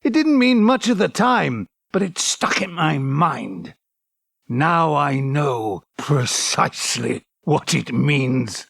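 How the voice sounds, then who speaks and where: A bookish, wistful man lost in memories of old loves. His voice sits high and swings expressively, with slow, carefully worded phrasing. It is audio drama acting, formal and a little hesitant. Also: sing-song, creaky, a bit bright, dry recording, clean studio sound, full character voice. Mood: reflective. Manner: articulate, wordy, formal and hesitant. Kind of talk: audio drama acting, dialogue and reflective monologue.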